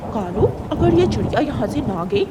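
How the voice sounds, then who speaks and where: A woman acting as a snooty cartoon crow wife with a high voice that swings sharply. She is bossy, snappish and quick to scold. Rain and thunder noise sits clearly behind her. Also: big pitch swings. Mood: haughty, angry. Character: haughty, ill-tempered female crow, scolding wife. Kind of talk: Hindi children's cartoon story acting.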